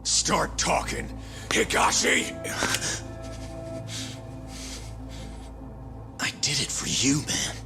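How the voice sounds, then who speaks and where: An actor playing a desperate, foul-mouthed, loyal young yakuza underling in a dramatic video game cutscene. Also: male voice, on the high side, sing-song, measured pace, vocal fry, music in the background, heavily acted. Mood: bitter, defiant. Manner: swearing, stammering under pressure.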